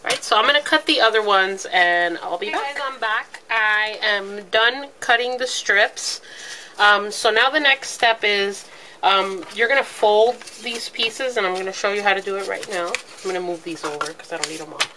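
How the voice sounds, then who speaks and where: A woman with a head cold talks through a home craft tutorial, her congested voice still bright and clear in tone. She rambles casually with frequent ums as she works with her hands. A faint hiss sits under the home recording. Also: medium pitch, normal speed, low-quality recording. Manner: chatty, lots of ums, talks while working with her hands. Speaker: craft video host.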